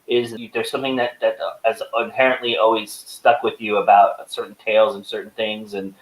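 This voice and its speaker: A man moderating a panel conversationally, with a rambling, halting delivery that often repeats words. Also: fairly low pitch, level tone. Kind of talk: conversational interviewing. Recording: echoey room.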